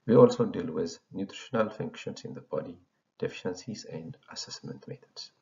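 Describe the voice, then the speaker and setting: A man's voice: sits low, moderate pace, gravelly, darkish tone. A man from Ethiopia in his thirties, founder of an educational channel, reading a slide presentation in a formal lecturing tone. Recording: dull lo-fi voice recording.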